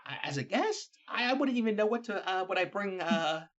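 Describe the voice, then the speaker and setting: A man playing a nervous, earnest small-town radio guest in an improvised comedy podcast, halting and bashful in manner. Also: high voice, expressive, fairly bright tone, highs cut off, slight background noise. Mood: bashful, hesitant.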